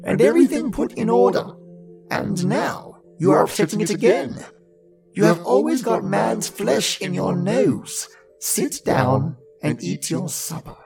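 Man doing a creaky, high old grandmother. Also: expressive, unhurried, music in the background, exaggerated voice. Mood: scolding. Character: devil's old grandmother, wily, soothing, scolding old woman.

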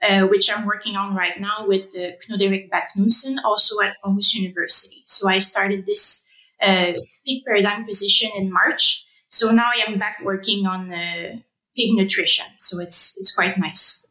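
A woman's voice: medium pitch, fairly even intonation, normal speed, bright, forceful tone, echoey room, poor audio. A young woman from Quebec, a native French speaker with a French Canadian accent, talking conversationally as an interview guest. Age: young adult. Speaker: podcast guest, researcher.

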